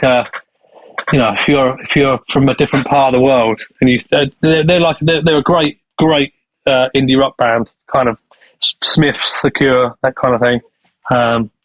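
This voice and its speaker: A man's voice: mid-range voice, a bit bright, no room sound, rough recording. Manchester producer guy chatting, lots of restarts and ums, mid voice, dry mic. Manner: rambling with many restarts and fillers. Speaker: studio owner and producer. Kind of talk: casual interview answers.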